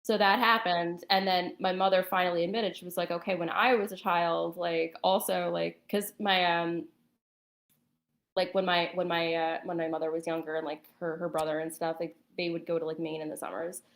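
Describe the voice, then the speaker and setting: A woman telling a personal story in a casual, conversational and somewhat halting way. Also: on the low side, flat delivery.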